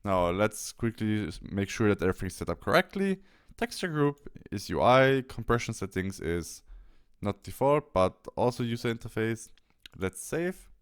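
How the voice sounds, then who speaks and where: A man presenting a software tutorial step by step, thinking aloud a little hesitantly as he demonstrates. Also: on the low side, clean tone.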